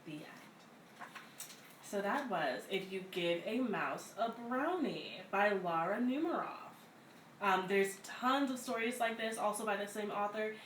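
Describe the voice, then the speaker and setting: A woman hosts a read-aloud story time for children in a medium-pitched voice. She moves between reading picture books and casual introductions. The room is noticeably echoey, with some faint background noise. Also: animated, measured pace, a bit bright. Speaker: community center story-time host. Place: echoey room at a community center.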